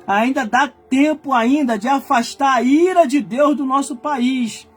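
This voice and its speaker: A man in his forties preaching with fire, his voice sitting very high as he climbs into shouted warnings and then sinks to low, muttered asides. Soft music plays faintly behind him. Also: measured pace, slightly rough. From Brazil. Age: 40s. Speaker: pastor. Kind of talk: fiery Pentecostal-style sermon.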